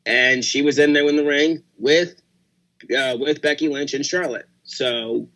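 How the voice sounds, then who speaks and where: A young man on a casual podcast, assertive and punchy, quick to back others up. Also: a little high, fairly even intonation, a bit bright. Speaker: podcast guest.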